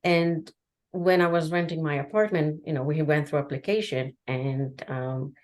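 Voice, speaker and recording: A woman with a deep, low voice whose first language is Hebrew talks as a guest on a podcast. She tells her story candidly and haltingly, with frequent restarts and fillers. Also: level tone, darkish tone.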